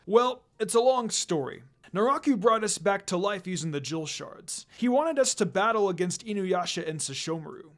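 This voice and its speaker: A man performs a young mercenary swordsman from a fantasy story. He is smug and cocky, talking in a smooth, casual way. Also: sits high, expressive, moderate pace, hardly any pauses, a bit bright. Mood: explaining.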